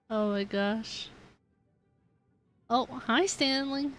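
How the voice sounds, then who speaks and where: A woman's voice: sits high, fairly bright tone, a little hiss or hum. Chatty woman streaming a game. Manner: chatty, thinking aloud. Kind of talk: casual live gameplay commentary.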